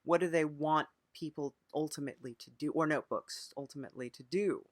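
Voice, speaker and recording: A podcast interviewer with a mid-pitched voice, recorded close and dry. The questions come earnestly and haltingly, with murmured acknowledgements, as part of an improvised mock interview. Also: no room sound.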